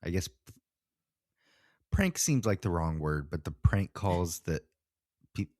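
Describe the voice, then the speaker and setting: A man's voice: sits low, lively intonation, takes it slowly, a little mellow, no room sound, studio quality. A man co-hosting a podcast in casual, slangy, informal chat. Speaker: podcast co-host.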